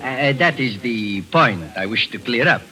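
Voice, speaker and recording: A man's voice: medium pitch, expressive, a little slow, sharp tone. An actor playing a fussy, overly polite Spanish-speaking little man in a 1940s radio play, with a Spanish accent. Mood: fawning. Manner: overly polite, flowery, nervous. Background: music.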